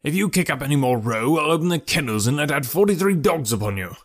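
A man voicing a cranky, lanky old male animal keeper who yells threats and then chats fussily. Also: a little high, lively intonation, quick pace, voice pushed up. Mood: angry, shouting. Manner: shouting threats, then fussy and chatty.

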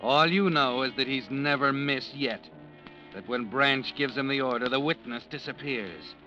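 Actor as forceful, ominous radio narrator. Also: male voice, medium pitch, moderate pace, lightly acted. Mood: grim, goading. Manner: grave, insistent, accusing. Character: ominous inner-voice narrator, grimly goading the troubled hero. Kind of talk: second-person radio drama narration. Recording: dull old radio recording. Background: faint music.

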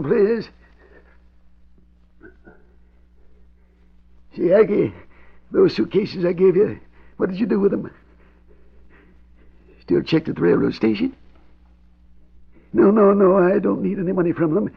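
An actor playing a cunning old man, nervous but defiant, with a thin voice and halting delivery in an old radio crime drama. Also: high-pitched, creaky, breathy edge, full character voice. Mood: worried, secretive. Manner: halting, drawn-out delivery with hesitations. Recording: dull, band-limited vintage radio recording.

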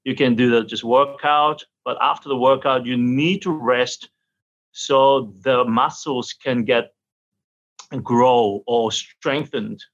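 A middle-aged opera singer from South Korea, answering interview questions in halting English and laughing often. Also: male voice, mid-range voice, fairly even intonation, muffled recording. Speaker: opera singer and vocal teacher.

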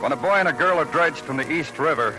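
An American actor playing a curt, world-weary police detective in an old radio noir drama, with terse, deadpan delivery. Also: male voice, fairly high pitch, fast talker, over music, natural voice, poor audio. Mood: brooding, grim. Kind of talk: 1940s-50s radio noir acting and narration. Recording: old radio broadcast recording.

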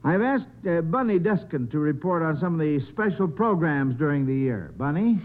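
An older American man hosts a vintage radio show, his voice fairly high and swooping freely up and down. He is folksy and avuncular as he chats with children. The old broadcast sounds muffled and narrow. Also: lively intonation, moderate pace. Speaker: radio quiz show host. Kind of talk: 1950s radio hosting.

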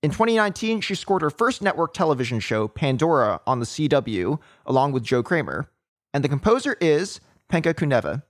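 Higher-pitched male podcast host. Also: big pitch swings, fairly quick, dry recording, studio quality. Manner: hesitant questions full of "like". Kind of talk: scripted intro then interview questions.

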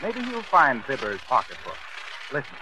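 A man's voice: medium pitch, huge pitch swings, normal speed, sharp tone. A man narrating as an announcer in scripted 1940s radio style. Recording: noisy old radio broadcast.

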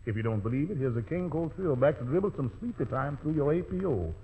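A man's voice: a little high, animated, fast talker, slightly rough. A man announcing music on 1940s radio in slangy, playful jive-talk patter. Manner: slangy, rhyming hipster patter. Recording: tinny old 1940s radio recording.

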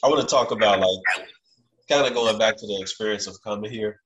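A man with a very low voice conducts a casual interview. He talks conversationally and haltingly, padding his thoughts with frequent fillers. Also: a bit bright.

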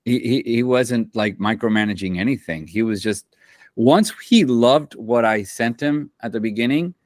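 A man's voice: mid-range voice, moderate pace, no room sound, studio quality. A man who composes for film and television, talking in a loose, rambling way, full of slang and restarts, in a casual interview. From Central America.

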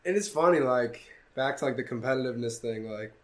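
A young man hosting a podcast in casual chat, animated and swearing freely, with many "like"s. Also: medium pitch, fast. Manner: animated storytelling, swearing, frequent "like". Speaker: podcast host.

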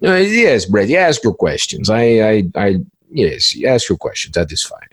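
A man playing an easygoing, boastful male cybercriminal in an interview role-play, halting and repeating phrases in stilted formal wording. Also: on the low side, wildly swooping pitch, no room sound. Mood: obliging, halting.

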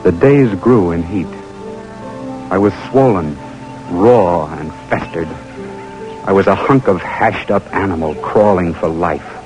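An actor playing an intense, driven American army lieutenant in an old radio drama, with a dramatic delivery. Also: male voice, low voice, poor audio. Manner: dramatic, urgent. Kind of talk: old-time radio drama acting, first-person narration.

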